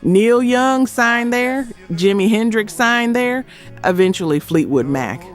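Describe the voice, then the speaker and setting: A man delivering scripted documentary narration in emphatic short phrases with dramatic pauses. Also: very high-pitched, lively intonation. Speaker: narrator of a music history video. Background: background music.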